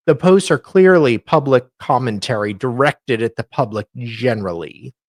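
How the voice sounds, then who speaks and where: A man's voice: medium pitch, animated, moderate pace, no room sound, studio quality. A man co-host reading formal text aloud, stumbling now and then over the words.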